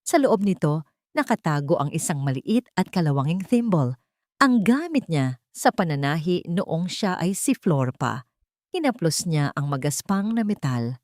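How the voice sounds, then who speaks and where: A woman with a Filipino accent reads a dramatic story as an audiobook narrator. Her voice sits a little low, and the recording is dry, with no room around it. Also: sing-song, measured pace, a little mellow, no room sound.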